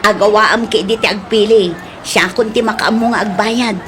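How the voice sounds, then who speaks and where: Chatty, expressive woman for comedy drama played by a female actor, Filipino accent, mid pitch, some background noise. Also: normal speed, lightly acted. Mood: coaxing, giggly.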